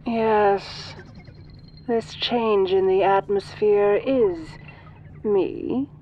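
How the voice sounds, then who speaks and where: A woman voicing a sinister, domineering ancient alien in a roleplay, speaking softly with a condescending, seductive manner. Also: medium pitch, lo-fi sound, a little hiss or hum. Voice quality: soft. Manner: condescending, imperious, slow and teasing. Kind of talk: audio roleplay addressed to the listener.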